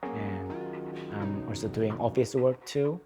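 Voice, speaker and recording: A young man from North Korea with a Korean accent, speaking English as a second language in an earnest, halting way, often restarting his sentences. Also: fairly low pitch, over loud music. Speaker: advocacy speaker. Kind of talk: interview testimony.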